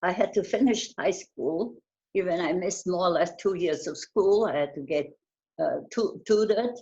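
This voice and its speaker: An older woman from Bulgaria whose first language is German, recalling her past in an oral history interview, halting and often restarting words. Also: on the low side, mellow, highs cut off. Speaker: interviewee, Holocaust survivor.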